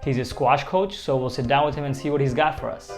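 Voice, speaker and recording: A man's voice: mid-range voice, fairly even intonation, fast talker, loud music behind the voice. A man in his late twenties hosting a casual interview, upbeat and informal. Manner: upbeat, informal greetings, short backchannels. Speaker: host.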